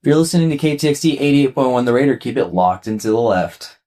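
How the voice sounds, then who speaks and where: Informal male radio host, mid-pitched. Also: animated, fast, dark tone, faint music behind. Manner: informal, laid-back, says "man" often. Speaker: college radio host, interviewer. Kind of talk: casual radio interview hosting. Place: college radio studio.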